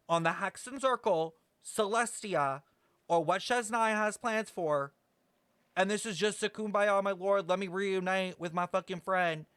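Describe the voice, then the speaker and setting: A male game streamer with a fairly high voice, recorded dry and close. He chats casually over gameplay in a blunt, sassy, theatrical way, swearing freely. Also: bright, forceful tone, no echo. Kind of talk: live gameplay commentary, casual chat.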